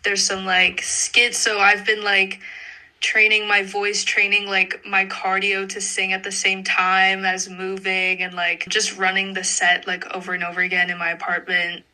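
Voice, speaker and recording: A woman's voice: medium pitch, monotone, unhurried, bright, forceful tone, low-quality recording. A young adult woman chatting casually as an interviewed singer, very informal and peppering sentences with "like". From Canada. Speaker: pop singer being interviewed. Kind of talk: casual chat.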